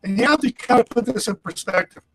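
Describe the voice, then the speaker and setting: Upbeat, bright-voiced man hosting a webinar. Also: very high voice, animated, fast. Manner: rambling, many fillers and restarts. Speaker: webinar host. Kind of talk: live webinar talk. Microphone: computer mic on a video call. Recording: crackly internet audio.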